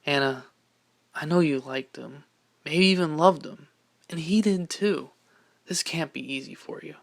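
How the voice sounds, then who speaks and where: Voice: medium pitch, unhurried, gravelly. An amateur actor playing a loyal, protective young man in an audio drama, earnest and intense. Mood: concerned, gentle. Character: loyal, protective, angry young male best friend.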